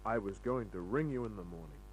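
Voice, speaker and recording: Low-voiced wisecracking radio detective played by a man. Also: expressive, fairly quick, natural voice, rough recording. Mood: flirtatious, casual. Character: wisecracking male private detective, flirtatious, tough, easygoing. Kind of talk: old-time radio drama acting with first-person narration. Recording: old radio recording with hum.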